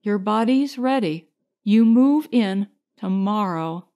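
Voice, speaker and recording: A woman voicing an affectionate, teasing woman who cares for a patient, gentle and reassuring, in audiobook dialogue. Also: medium pitch, animated, slow.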